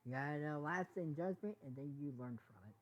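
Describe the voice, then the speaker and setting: A woman's voice: deep voice, expressive, moderate pace. A woman voicing an earnest, reassuring young female pony friend in a storybook reading, firm and encouraging. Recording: dull, lo-fi sound.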